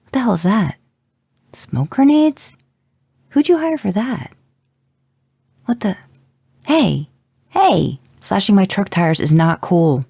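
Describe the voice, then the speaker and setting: A man's voice: high voice, very animated, slow, creaky, long pauses, husky, no echo, pitched above the performer's own voice, full character voice. A man reading fan fiction, voicing a young man who leaves a joking voicemail that turns frantic. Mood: panicked. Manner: rising panic, loud outbursts.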